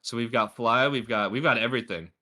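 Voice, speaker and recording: Bright-voiced man coaching, stuffy nose. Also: mid-range voice, a little fast. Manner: chatty, lots of filler words. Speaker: game coach. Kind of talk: casual gameplay review.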